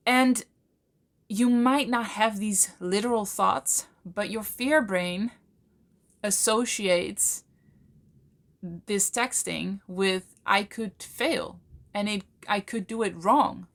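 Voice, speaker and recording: A Dutch woman, a native Dutch speaker talking in English, explaining things to camera in a thoughtful way, with many fillers and slow, stretched words for emphasis. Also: medium pitch. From Netherlands. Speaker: channel host, coach. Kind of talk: casual explanatory talk to camera.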